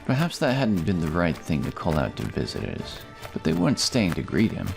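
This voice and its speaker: Deep, gravelly male fantasy narrator. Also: huge pitch swings, a little fast. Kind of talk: scripted fantasy story narration. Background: music.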